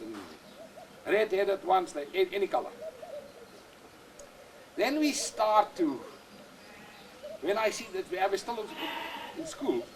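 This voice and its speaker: An older man with an Afrikaans accent, whose first language is Afrikaans, talking plainly and repetitively in halting English as a goat breeder outdoors on a farm. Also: high-pitched, gravelly, slight background noise, poor audio. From South Africa. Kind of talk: casual explanation on a farm.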